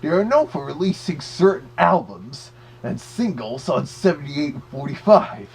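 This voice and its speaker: A man's voice: a little high, very animated, normal speed, gravelly, slight background noise. A man voicing a cartoon Big Bad Wolf who gives chatty, slightly hesitant commentary as a record reviewer. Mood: chatty, informative.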